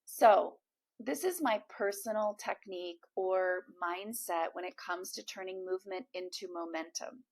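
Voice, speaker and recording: A woman with a slightly hoarse, froggy voice, giving an encouraging direct-to-camera coaching talk as a manifestation coach, speaking straight to the viewer. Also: mid-range voice, unhurried.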